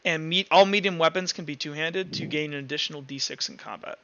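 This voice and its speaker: A man running a tabletop game as game master, explaining casually and haltingly, rephrasing as he goes. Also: on the high side, bright, forceful tone, dull audio, a little hiss or hum.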